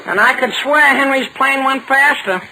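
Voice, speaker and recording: A brash American teenage sidekick from an old radio comedy. The voice is high-pitched and bright, full of suspicion and scheming, with faint hiss from the vintage broadcast. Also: male voice, normal speed, heavily acted, poor audio. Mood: suspicious. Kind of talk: 1940s radio comedy acting.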